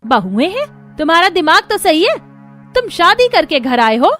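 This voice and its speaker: A woman voicing a greedy, scolding middle-aged mother-in-law in a cartoon, with a sharp-tongued, commanding manner. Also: extremely high pitch, very animated, normal speed, faint music behind, full character voice. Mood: shocked, grudging.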